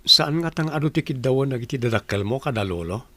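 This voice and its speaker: A man's voice: medium pitch, lively intonation, quick pace, sharp tone, no room sound. A man acting a devoted, tender young suitor in an Ilocano radio drama. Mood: affectionate.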